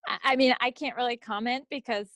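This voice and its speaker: A woman's voice: on the high side, fairly even intonation, brisk, a bit bright, no echo. A woman co-hosting a craft podcast, chatting casually with easygoing, hesitant phrasing. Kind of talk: casual chat about projects. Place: recorded at own end of a remote video call.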